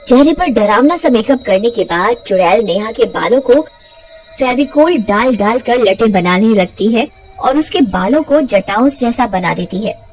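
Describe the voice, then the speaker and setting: A woman storyteller with a high-pitched voice narrates a scripted tale. Faint music plays behind her. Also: expressive, moderate pace, a little mellow.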